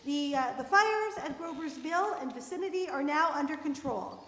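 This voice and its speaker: A woman playing a radio news announcer who reads bulletins formally and a little haltingly in a live drama. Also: sits high, animated, a bit bright. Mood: formal, urgent. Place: live performance in a church. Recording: echoey church hall live performance.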